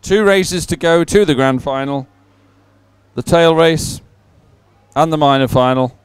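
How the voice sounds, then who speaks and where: A man's voice: on the high side, a little slow, smooth, no room sound. A man commentating live on a sporting event and reading out results in a chatty, ad-libbed way.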